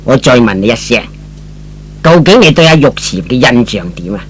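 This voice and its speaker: Man acting a coaxing older chairman, lively intonation. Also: mid-range voice, normal speed, a little hiss or hum, pitched below the performer's own voice, natural voice. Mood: probing, coaxing. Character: probing, paternal older male company chairman. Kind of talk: old-fashioned Cantonese radio drama acting.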